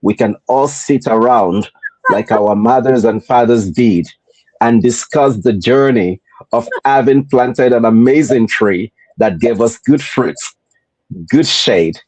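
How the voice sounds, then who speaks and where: A man from Nigeria with a Nigerian accent, hosting a motivational podcast in a deliberate, repetitive rhetorical style. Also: mid-range voice, a little mellow, no echo, rough recording. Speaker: podcast host.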